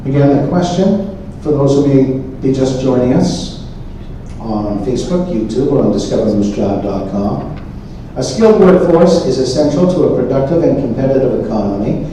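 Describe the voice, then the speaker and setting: A man's voice: mid-range voice, lively intonation, normal speed, warm tone. A man moderating a forum, formally reading questions aloud. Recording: echoey room, distant mic, faint noise.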